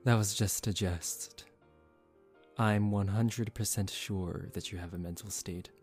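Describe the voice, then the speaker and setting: A man voicing a suave, condescending young male vampire in a soft-spoken ASMR roleplay, calm and teasing. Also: lowish voice, slow pace, pauses often. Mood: nonchalant, teasing. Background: relaxing background music.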